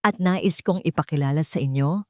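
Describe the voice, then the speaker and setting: A woman's voice: sits low, moderate pace, dry recording, clean studio sound, close to an everyday voice. A woman voicing a dignified, proud elderly matriarch in a story, speaking formally and with stately poise. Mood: proud, affectionate. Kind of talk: storybook character dialogue.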